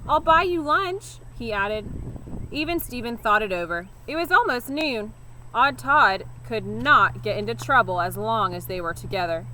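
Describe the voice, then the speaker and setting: Woman teacher reading a kids book, bright voice, super expressive, some background noise. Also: mid-range voice, rough recording. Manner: animated, deliberate emphasis on key words. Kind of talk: expressive children's storybook narration.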